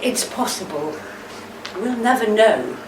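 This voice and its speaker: Woman playing steady wife, radio play, gentle deliberate delivery, roomy sound, set back from the mic. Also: mid-range voice. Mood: tender, firm. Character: steady, tender, reassuring wife of a troubled veteran. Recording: roomy, distant mic, faint background noise.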